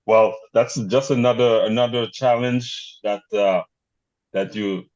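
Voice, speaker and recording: A male host with a lowish voice speaks slowly and haltingly, repeating words and filling gaps. The recording sounds dull and lo-fi. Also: fairly even intonation, darkish tone. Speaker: podcast host. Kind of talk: interview conversation.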